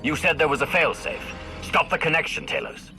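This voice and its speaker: A man playing a determined, commanding Sith adventurer in a video game, terse, with a rough husky voice. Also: a little high, fairly quick, bright, forceful tone. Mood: urgent, demanding. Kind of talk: scripted game voice acting. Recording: music behind the voice.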